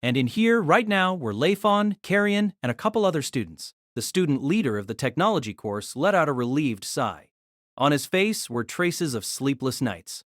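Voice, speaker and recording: A man narrating an audio drama in scripted storytelling style, expressive and engaged. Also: normal speed, dry recording, studio quality. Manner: expressive storytelling with lively pitch.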